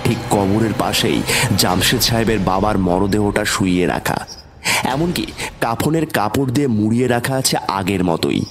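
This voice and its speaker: A man's voice: fairly low pitch, lively intonation, moderate pace. A man narrating a scripted first-person horror story in a dramatic, suspenseful way. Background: background music.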